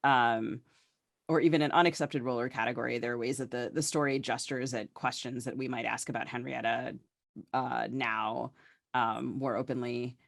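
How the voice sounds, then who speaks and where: A man's voice: medium pitch, fairly even intonation, moderate pace, creaky, a bit bright, no echo, studio quality. A man co-hosting a literary podcast, asking long, discursive and appreciative questions. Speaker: podcast co-host, interviewer. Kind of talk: literary interview, conversational questions.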